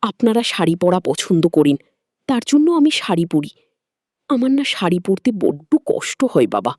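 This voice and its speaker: A shy young daughter-in-law from a narrated story, as a woman narrator puts her on. She is deferential and pleading, repeats her words, and her pitch moves freely in a dry recording. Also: big pitch swings, moderate pace, darkish tone, dry recording. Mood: shy, explaining. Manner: apologetic, pleading, repetitive.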